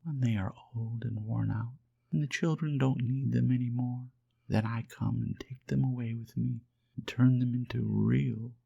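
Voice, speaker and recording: A man's voice: mid-range voice, fairly even intonation, moderate pace, creaky, slightly rough, narrow, tinny audio, voice pushed up. A man voicing a kindly female nursery fairy from a storybook, tender and soothing. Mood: tender, reassuring. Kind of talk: storybook character voice.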